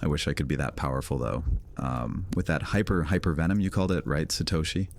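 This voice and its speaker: A cocky vampire loner with a very deep voice, as a man acts him out. He talks casually and mocks everyone around him with dry sarcasm. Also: brisk, creaky, a little hiss or hum. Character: cocky male vampire loner, sardonic and teasing. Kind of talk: fantasy audio drama acting.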